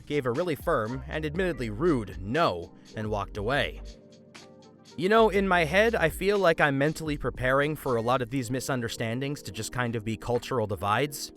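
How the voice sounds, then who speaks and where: Man, story channel host, lively up-and-down delivery, soft music underneath. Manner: animated, swings pitch for emphasis. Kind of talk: reading online stories aloud with comments. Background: faint background music.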